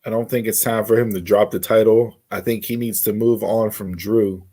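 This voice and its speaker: A man's voice: on the low side, steady tone, moderate pace, warm tone. Opinionated man chatting on a podcast. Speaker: podcast co-host. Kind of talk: casual wrestling predictions chat.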